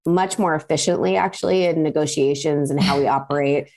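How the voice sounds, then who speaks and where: Woman guest with an American accent and a low voice; fluent, conversational, with ums and restarts. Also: fairly even intonation, a little fast, a little mellow. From Pakistan. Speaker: guest, venture capital partner. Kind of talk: conversational interview answers.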